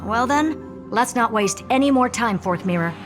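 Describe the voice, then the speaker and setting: A woman's voice: fairly high pitch, lively intonation, normal speed, over music, natural voice. An actress voicing a confident woman who lays out a mission plan in a businesslike way. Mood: brisk. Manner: businesslike, self-assured. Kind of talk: game voice acting.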